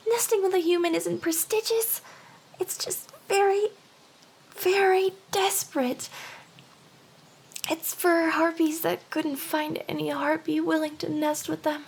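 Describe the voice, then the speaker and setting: A woman's voice: very high voice, creaky, heavily acted. A woman voicing a lovelorn young female harpy in an anime ASMR roleplay, earnest and stammering, sometimes dropping into whispers. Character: hyper, lovelorn young female harpy desperately courting a human.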